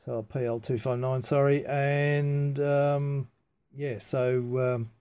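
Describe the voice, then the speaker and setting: A man talking casually and haltingly through a hands-on technical demonstration, unscripted and matter-of-fact. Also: mid-range voice, little pitch movement, mellow, smooth. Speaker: amateur radio hobbyist demonstrating antenna tuning.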